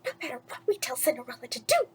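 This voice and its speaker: A woman's voice: sing-song, rapid-fire, a little hiss or hum. A woman voicing a bossy, spiteful young stepsister from a storybook, easily rattled. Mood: nervous, suspicious. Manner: barking, shrill orders.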